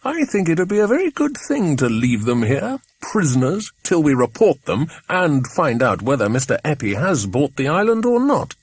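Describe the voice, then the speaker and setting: A man voicing a brisk, hearty, commanding English man in an audiobook. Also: on the high side, big pitch swings, slightly rough, dry recording, voice pushed up. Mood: amused, firm. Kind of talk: audiobook character voice.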